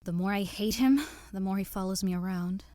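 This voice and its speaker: A woman playing a devoted young lover in an audio drama, earnest and expressive in a theatrical way. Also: mid-range voice, brisk, no room sound, studio quality, lightly acted. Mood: friendly, earnest. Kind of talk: modernized Shakespeare audio drama acting.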